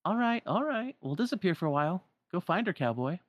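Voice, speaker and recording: A performer doing a brash, high-pitched teasing teen sidekick. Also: sing-song, a little fast, no room sound, lo-fi sound, lightly acted. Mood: good-natured. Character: brash, good-natured teasing teenage boy sidekick.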